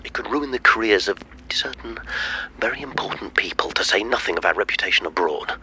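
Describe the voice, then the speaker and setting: An actor playing a stern police chief under pressure, speaking urgently and insistently in a radio drama. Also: male voice, lowish voice, expressive, brisk, bright, forceful tone, slight background noise, full character voice, low-quality recording. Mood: grave, insistent.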